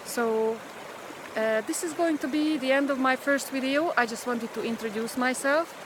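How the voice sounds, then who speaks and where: Woman, Hungarian accent, hesitant casual vlog, fairly high voice, rushing river noise behind. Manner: hesitant, with many pauses and fillers. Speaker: vlogger. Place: outdoors by a river in the forest. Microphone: handheld camera or phone at arm's length. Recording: outdoor recording with rushing water noise. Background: rushing river water.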